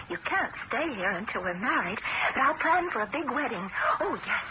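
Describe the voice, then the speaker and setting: A woman's voice: fairly high pitch, sing-song, normal speed. An actress playing a very old woman who clings to her house, in a 1970s radio drama with a quavering, pleading voice. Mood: dreamy. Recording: dull old radio broadcast with hiss.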